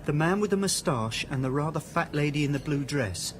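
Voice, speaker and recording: An actor in a radio drama playing an uneasy, hesitant son on a family holiday. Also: male voice, on the high side, animated, fairly quick, slight background noise, close to an everyday voice. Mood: anxious.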